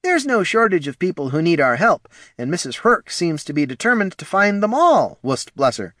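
A man's voice: high-pitched, very animated, moderate pace, a bit bright, no room sound, studio quality, pitched above the performer's own voice. A man doing an earnest, kindly fellow.

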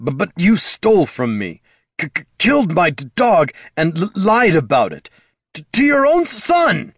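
A man voicing an indignant wizard, a wronged son who stammers and speaks in halting accusations, in a fantasy storybook. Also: mid-range voice, huge pitch swings, a bit bright, breathy edge, dry recording, studio quality, heavily acted. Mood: furious, stammering.

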